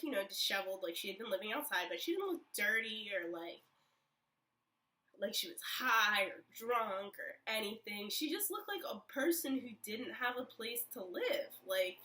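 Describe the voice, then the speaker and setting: Young woman, vlog storytime, rambling filler-heavy chat, bright voice, slightly distant mic. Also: a little high. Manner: chatty, rambling, many fillers. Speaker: vlogger.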